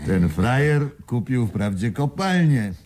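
An actor in a Polish radio play playing a skeptical, wry business partner with a dry manner. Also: male voice, medium pitch, big pitch swings, slow pace, darkish tone. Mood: amused, skeptical.

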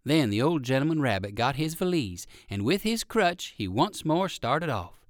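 A man narrating a children's storybook in an expressive way, with chatty asides to young listeners. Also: mid-range voice, normal speed, dry recording, clean studio sound. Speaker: audiobook narrator.

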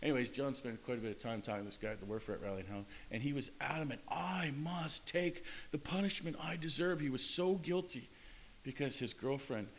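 A man's voice: medium pitch, brisk, slight background noise, poor audio. A man preaching a sermon in an earnest way, often repeating and restarting his words. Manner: earnest, repetitive phrasing with frequent restarts.

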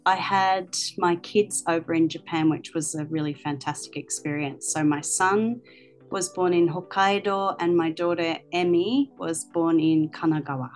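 A woman's voice: lowish voice, fairly even intonation, moderate pace. A woman, a guest in an interview, telling stories about her teaching life with an easy, chatty manner. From Australia. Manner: chatty, storytelling with many ums. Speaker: guest, language teacher and author. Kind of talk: interview answers.

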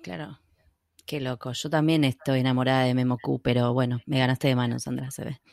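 A woman with an Argentine accent hosting an interview, chatty and quick to laugh. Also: rumbling low, little pitch movement, vocal fry, a little mellow, no room sound, clean studio sound. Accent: Argentine Spanish. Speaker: interview host. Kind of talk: casual interview chat.

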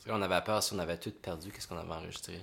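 A young man with a Québécois French accent co-hosts a casual podcast. He speaks quietly in a husky, low voice and answers in short, dry remarks. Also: steady tone, rapid-fire. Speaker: podcast co-host. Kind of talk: casual chat.

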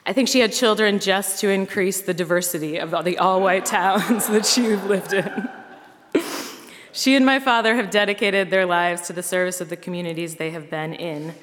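A woman's voice: medium pitch, fairly even intonation, moderate pace, a bit bright, slight background noise. A woman giving an earnest personal testimony to a congregation, speaking in rhythmic repeated refrains. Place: large church hall. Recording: long reverb of a hall.

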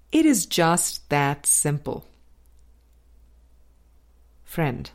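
A woman with a fairly low voice reads a spiritual book aloud. Her pace is very slow, and each phrase is measured and deliberately placed, in the manner of an audiobook narrator. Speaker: audiobook reader.

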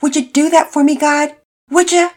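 A woman's voice: high-pitched, steady tone, moderate pace, voice pushed up, natural voice. A woman voicing an earnest, eager teenage boy who stammers when moved. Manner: enthusiastic, stammering.

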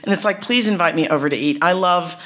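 A middle-aged woman, talking casually to an audience, chatty and warm, with many restarts and laughs. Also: fairly low pitch, fast.

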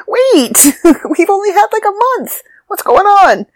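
A congested speaker voicing their own bewildered allergies in a high, squeaky, panicky voice. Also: very animated, takes it slowly, no room sound, heavily acted.

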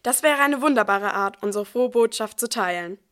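Woman playing gentle new mom in a church nativity play, highish voice. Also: expressive, normal speed, soft background music. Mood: delighted. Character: Mary, gentle young new mother of nativity.

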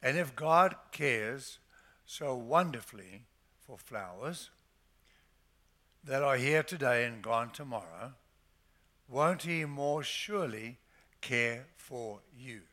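Older pastor guy preaching, slow deliberate delivery, bright forceful tone. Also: medium pitch, animated. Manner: emphatic preaching, slows to stress scripture phrases. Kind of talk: sermon. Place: church.

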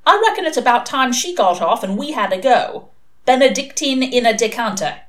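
A woman's voice: on the high side, animated, normal speed, voice pushed up, natural voice. Woman as a grumbling, put-upon schoolboy. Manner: grumbling, muttering.

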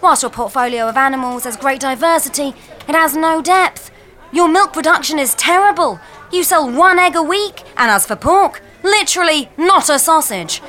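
A woman playing a formal, officious female financial adviser in an audio comedy sketch, speaking emphatically and deliberately. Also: high-pitched, expressive, sharp tone, pitched above the performer's own voice. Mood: brisk, matter-of-fact. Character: brisk, formal female financial adviser breaking bad news.

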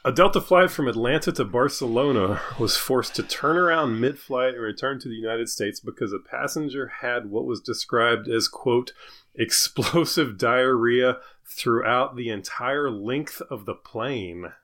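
A man's voice: mid-range voice, unhurried, fairly bright tone. Jokey, self-deprecating man bantering on comedy show. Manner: self-deprecating, jokey, often laughs through own punchlines. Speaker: podcast co-host and joke writer. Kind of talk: casual banter and satirical news jokes.

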